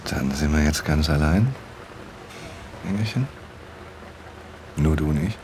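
An actor playing a teasing, wheedling husband in a naturalistic radio drama, speaking in an intimate, coaxing manner. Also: male voice, very deep voice, animated, measured pace, noisy background.

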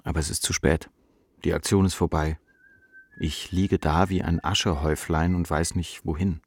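Deep, husky doubting inner voice. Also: male voice, fairly even intonation, moderate pace, no echo. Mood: bleak. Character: dark, doubting male inner voice of troubled writer. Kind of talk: literary radio play.

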